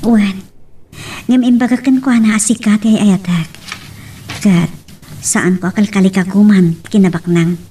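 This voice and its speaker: A woman with an Ilocano accent playing a gentle young sweetheart in a radio drama. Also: mid-range voice, fairly even intonation, a little slow, pauses often, far from the mic, a little hiss or hum, lightly acted. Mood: hesitant.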